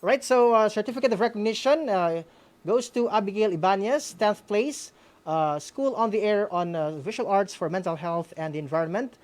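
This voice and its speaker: A woman's voice: medium pitch, normal speed, a little mellow. Filipino woman hosting, music behind. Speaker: radio program host. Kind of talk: live award ceremony hosting.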